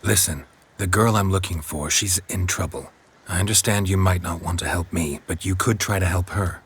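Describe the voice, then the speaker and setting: A stoic monster hunter from a fantasy game, weary and terse. The voice is deep and heavily rasping, and the delivery is deadpan. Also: male voice, normal speed, heavily acted. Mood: even, guarded. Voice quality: gravelly, husky. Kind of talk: video game voice acting.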